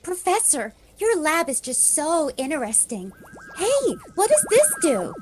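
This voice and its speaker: A woman's voice: high-pitched, wildly swooping pitch, a little slow, faint music behind, exaggerated voice. A woman playing a timid, naive young girlfriend in a spoof B-movie radio comedy, girlish and melodramatic. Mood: curious. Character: timid, wide-eyed girlfriend Helen, young B-movie ingenue.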